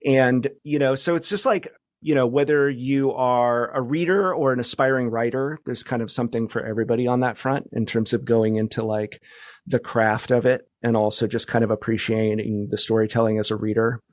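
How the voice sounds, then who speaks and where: Male interview guest. Average pitch, informal and a little halting as he explains, very dry sound. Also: fairly even intonation, no echo. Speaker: podcast guest, producer. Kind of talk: casual interview talk.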